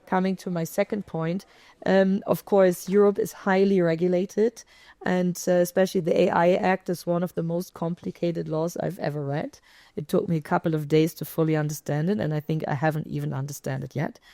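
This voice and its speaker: A woman speaking on a conference panel, explaining points in a measured way with frequent "I mean" and "um". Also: on the low side, fairly even intonation, warm tone, no echo. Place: conference stage.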